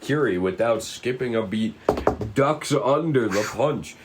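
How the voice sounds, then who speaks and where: A man's voice: medium pitch, lively intonation, measured pace, noisy background. A man reading stage directions aloud as narrator at a script table read.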